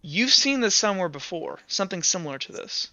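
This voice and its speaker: A man improvising narration as a tabletop game master, halting and casual, swearing freely as he goes. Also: sits high, very animated, bright, forceful tone, dry recording, muffled recording.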